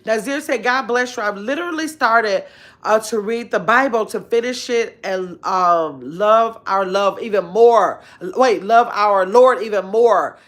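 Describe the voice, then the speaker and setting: A woman in her fifties hosts a casual livestream from her bedroom, talking into a phone held close at arm's length. She reads viewer comments aloud and answers them, with animated exclamations and long, drawn-out words. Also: mid-range voice. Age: 50s. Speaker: livestream host. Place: bedroom at home, indoors.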